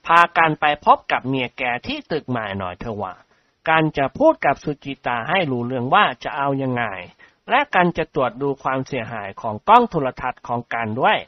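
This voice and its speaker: A reader voicing a self-important male doctor and scientist who talks slow and measured. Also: mid-range voice, very animated, no echo, dull audio, natural voice. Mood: earnest.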